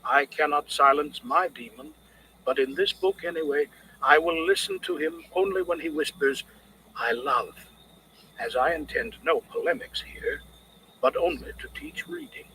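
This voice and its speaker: A man reading scripted audiobook narration in a measured, literary, expressive manner. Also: a little high, noisy background.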